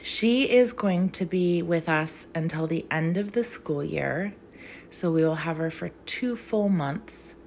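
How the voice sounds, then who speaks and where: A woman's voice: on the low side, slow pace, vocal fry, a little mellow, slight background noise. A young woman teacher reading aloud for young children in an emphatic, theatrical, sing-song way.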